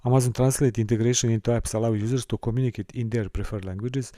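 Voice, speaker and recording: A man from Serbia, teaching a course and walking through a software console, with careful, halting phrasing. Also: medium pitch, steady tone, dry recording, studio quality. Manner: careful, halting delivery with small restarts and non-native phrasing. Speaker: course instructor. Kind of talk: screen-recorded software tutorial.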